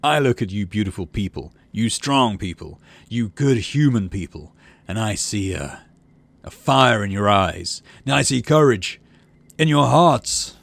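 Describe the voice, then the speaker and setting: A man's voice: fairly low pitch, lively intonation, unhurried, vocal fry, a bit bright, no echo, heavily acted. A man voicing a bombastic young colony governor giving rousing, declamatory speeches in a video game. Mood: rousing, fervent. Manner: declamatory, rousing political oratory.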